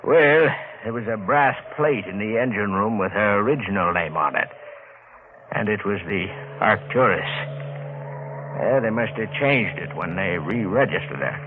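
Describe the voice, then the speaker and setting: Casting: male actor for plain-spoken salvage diver, lowish measured voice, tinny radio with heavy noise. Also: lively intonation, natural voice. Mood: matter-of-fact. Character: matter-of-fact salvage diver reporting to his boss. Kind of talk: old-time radio drama acting. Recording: tinny, noisy vintage radio recording.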